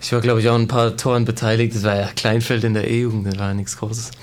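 A man's voice: lowish voice, fast, slight background noise. Man in his twenties telling his story. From Germany. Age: 20s. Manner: relaxed, chatty storytelling with many fillers. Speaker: guest footballer. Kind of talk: casual interview talk.

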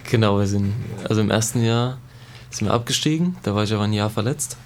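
A man's voice: on the low side, fast talker, slight background noise. A man in his twenties, raised in Germany, chatting casually about his background as a guest footballer in an interview, with many fillers. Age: 20s.

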